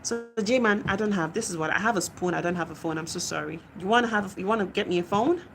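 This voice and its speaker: A woman's voice: medium pitch, fast talker. A young adult woman with a Nigerian accent, chatting casually on a live stream as she reads out and answers viewer comments, laughing often.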